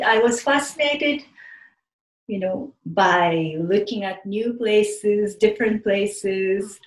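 A woman from Japan, speaking English with a Japanese accent, telling her life story in a remote interview, soft-spoken and hesitant. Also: medium pitch. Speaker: guest. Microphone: video call. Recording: roomy remote call audio.

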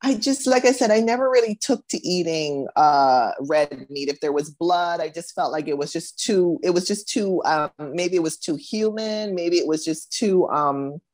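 A middle-aged woman from the Bahamas, a guest talking thoughtfully in a casual interview, with many pauses and restarts. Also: fairly low pitch, lively intonation, darkish tone.

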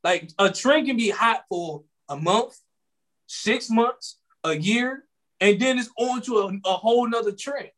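A man in his late twenties holds forth on a podcast in a relaxed, slangy ramble. He restarts his sentences and circles back over the same points. His voice sits high for a man. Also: unhurried, low-quality recording. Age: 20s. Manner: rambling, repeats himself, slangy. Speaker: podcast co-host. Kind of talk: casual podcast chat.

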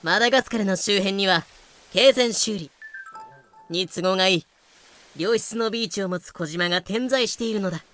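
Brash ship captain for audio drama , performed by an actor, bright, forceful, blustering and stubborn, light music underneath. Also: mid-range voice, normal speed, natural voice. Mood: commanding. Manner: bossy, blustering. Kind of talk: Japanese anime-style audio drama acting.

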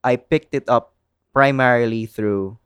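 A man's voice: mid-range voice, a little slow, clear, resonant tone, dry recording, studio quality. A man with a Filipino English accent, chatting casually and giving opinions with lots of "like" fillers. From Philippines. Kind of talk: casual chat.

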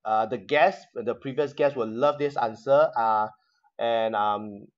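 A young man hosts a relaxed chat, stumbling and repeating himself as he hunts for words. His voice comes through a dull, lo-fi line. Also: medium pitch, unhurried. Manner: hesitant, many fillers and repeated words. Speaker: show host. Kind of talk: casual interview chat. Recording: dull lo-fi sound.

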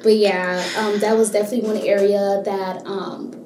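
A young woman from Miami, a university student, answering interview questions in a relaxed, thoughtful way with frequent ums. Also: medium pitch, normal speed, roomy sound, background noise. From Miami, Florida. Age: young adult.

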